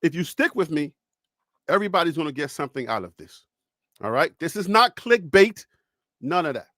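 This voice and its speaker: Chatty storytelling sports streamer. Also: male voice, on the high side, animated, fairly quick, bright, forceful tone, no echo, studio quality. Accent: Liberian. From Liberia. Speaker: host. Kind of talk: live-stream sports talk. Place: home studio.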